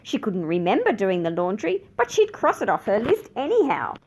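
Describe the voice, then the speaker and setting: A woman reading a children's picture book aloud with expressive, dramatic emphasis. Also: mid-range voice, normal speed, slight background noise. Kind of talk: scripted children's story narration.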